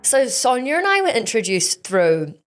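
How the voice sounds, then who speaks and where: A woman's voice: fairly high pitch, big pitch swings, moderate pace, soft background music. A woman hosting a podcast, chatting casually and informally with emphasis and plenty of "like". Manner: informal, emphatic, uses "like" often. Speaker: podcast host. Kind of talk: casual interview chat.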